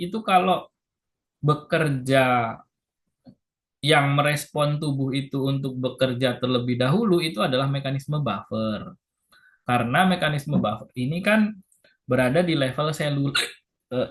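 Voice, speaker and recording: Slow, patient man lecturing. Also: medium pitch. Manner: slow, patient, repeats key points with "ya". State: sore throat, clears throat and hiccups. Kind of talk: lecture to health workers.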